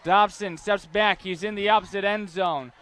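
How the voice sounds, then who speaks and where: A man's voice: high voice, fairly even intonation, normal speed, sharp tone, a little hiss or hum. A young American man working as a sports announcer, delivering reports and game commentary.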